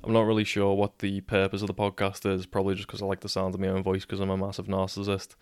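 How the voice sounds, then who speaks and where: A young man from Liverpool with a Scouse accent, hosting a casual solo podcast and telling a story in a monotone, sweary way, often tripping over his words. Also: deep voice, quick pace, clean tone, dry recording, studio quality. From Liverpool, England. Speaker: podcast host.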